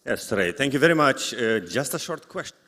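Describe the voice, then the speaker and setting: A man who is a non-native English speaker moderates a live conference panel, rephrasing haltingly as he goes. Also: mid-range voice, sing-song, fairly bright tone. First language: not English. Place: large indoor conference hall. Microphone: event microphone. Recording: echoey hall sound system.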